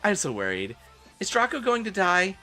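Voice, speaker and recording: A man's voice: sits high, wildly swooping pitch, normal speed, sharp tone, pitched above the performer's own voice, full character voice. A man voicing a melodramatic, bratty teenage goth girl with exaggerated sass in a comic fan-fiction reading, over music. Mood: bratty, dramatic.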